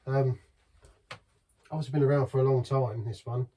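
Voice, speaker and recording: A man's voice: medium pitch, steady tone, moderate pace, mellow, slight background noise. A middle-aged man chatting casually through a beer review, rambling and restarting as he talks. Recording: voice from some distance from the mic.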